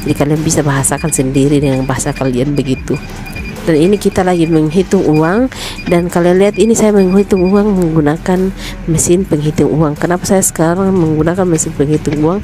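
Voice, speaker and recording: A woman's voice: low-pitched, brisk, noisy background. A woman with a rural Indonesian accent, chatting casually to her viewers about running her small shop, with many fillers and asides to her friends. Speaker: small shop owner running a banking agent. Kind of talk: casual vlog narration.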